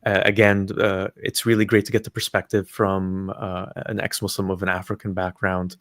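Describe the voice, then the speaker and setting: A man's voice: sits low, monotone, moderate pace, a bit bright, no room sound, studio quality. A man from Palestine hosting a live call-in stream, chatting casually with callers, friendly and hesitant with many fillers.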